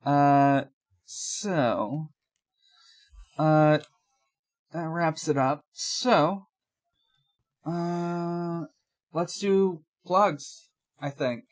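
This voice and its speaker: A young man co-hosting a podcast in casual chat, talking in a halting, rambling way and often correcting himself. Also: on the high side. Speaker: podcast co-host.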